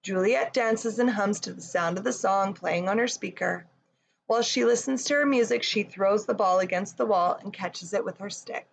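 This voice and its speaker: A woman's voice: medium pitch, normal speed, gravelly. A woman reading a picture book to children and guiding them through yoga with simple, encouraging cues, recorded in a room. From Canada. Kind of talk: children's read-along and yoga instruction. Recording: roomy echo, distant mic, background noise.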